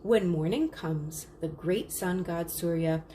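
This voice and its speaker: A woman reading a storybook, voicing a practical, caring older sister, a girl who explains things calmly. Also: fairly low pitch, slow. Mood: calm storytelling. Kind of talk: storybook character dialogue. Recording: clearly noisy background.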